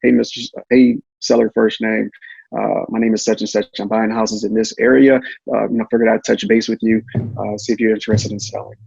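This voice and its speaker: Man, interview guest, creaky gravelly voice, fairly even pitch, casual explaining, repetitive phrasing. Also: fairly quick, no room sound, lo-fi sound. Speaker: guest, real estate investor.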